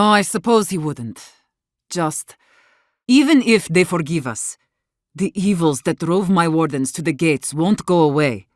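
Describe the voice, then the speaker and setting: Blunt, low-voiced outcast warden leader. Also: female voice, no echo, studio quality. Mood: grave, frank. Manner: blunt, commanding, swearing. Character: defiant, blunt, battle-hardened woman leading exiled outcast wardens. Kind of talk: video game character dialogue.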